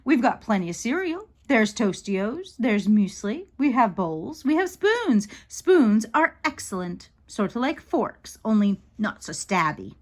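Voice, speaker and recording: A woman's voice: fairly high pitch, sing-song, measured pace, hardly any pauses. A woman voicing a well-meaning, rambling storybook father, earnest and whimsical. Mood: cheerfully firm. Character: well-meaning, rambling dad, middle-aged man, storybook.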